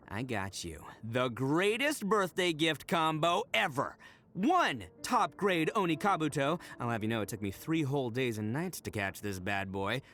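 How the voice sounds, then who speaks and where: A man's voice: mid-range voice, a bit bright, slight background noise. A man voicing a brash, boastful, big-hearted young oni gang boss in a video game, boisterous and slangy in a theatrical way. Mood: proud, excited. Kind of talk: video game character voice acting.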